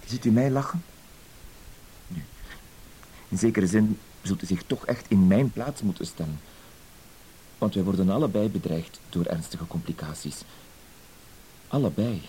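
An actor playing a timid, dutiful husband with wounded dignity, hesitant, wordy and apologetic, in a radio play. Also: male voice, deep voice, a little slow, long pauses, dark tone, low-quality recording. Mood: pained, pleading. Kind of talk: Dutch-language radio play acting. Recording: hissy old radio play recording.

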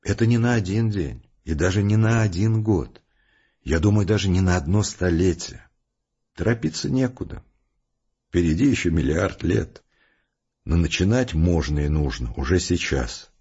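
An actor playing a calm, determined scientist who explains things earnestly and deliberately in an audio drama. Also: male voice, sits low, breathy edge, exaggerated voice. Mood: resigned, gentle. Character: resolute philosophical male scientist, calm, determined, reasoning patiently. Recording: muffled, with prominent music behind. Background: music.

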